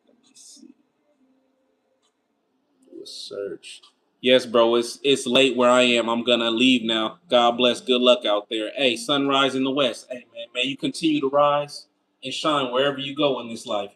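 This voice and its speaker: A man's voice: mid-range voice, fairly bright tone, low-quality recording. A man in his thirties hosting a casual livestream. He reads out viewer comments and answers them in a loose, slangy way, asking himself rhetorical why-questions. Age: 30s. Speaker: livestream host.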